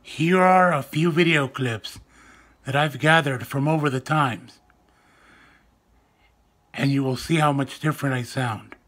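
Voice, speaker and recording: Soft raspy man, slow and jokey. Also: medium pitch. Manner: slow, jokey, self-mocking. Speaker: vlogger. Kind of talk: casual talk to camera. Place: indoors at home, small room. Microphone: phone or webcam close to the face.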